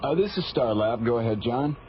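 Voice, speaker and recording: An actor playing a hesitant, sheepish space station controller, on a hissy old radio recording. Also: male voice, medium pitch, lively intonation, brisk, muffled recording, close to an everyday voice.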